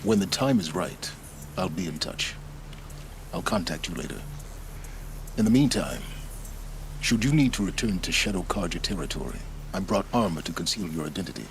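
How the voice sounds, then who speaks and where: Aloof, deep-voiced secretive schemer. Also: male voice, lively intonation, slight background noise. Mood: cool, dismissive. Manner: measured, dry, formal. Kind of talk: video game cutscene acting.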